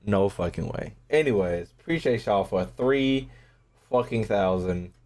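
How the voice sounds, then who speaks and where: A man giving casual livestream gameplay commentary, excitable, slangy and full of swearing. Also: on the low side, unhurried. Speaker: game streamer. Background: game music.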